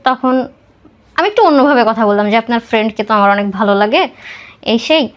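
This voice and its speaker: A woman's voice: on the high side, lively intonation, brisk, highs cut off. A woman with a Bangladeshi accent telling a personal life story as a podcast guest, dropping English words into her talk.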